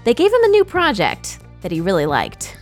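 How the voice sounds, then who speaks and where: A woman's voice: medium pitch, huge pitch swings, moderate pace, soft background music. A woman hosting and narrating a podcast, playful and teasing, laughing often between questions. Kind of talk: scripted narration mixed with interviews.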